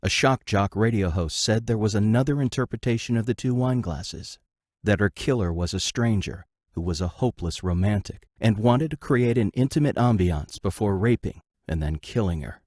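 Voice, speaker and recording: Male narrator, fairly low voice, scripted audio drama narration. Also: moderate pace, darkish tone, no echo, clean studio sound.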